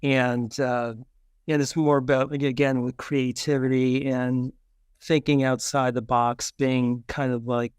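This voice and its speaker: A middle-aged man talking casually as an interview guest, halting and rambling as he explains. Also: medium pitch, level tone, smooth, no room sound, clean studio sound. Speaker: guest author.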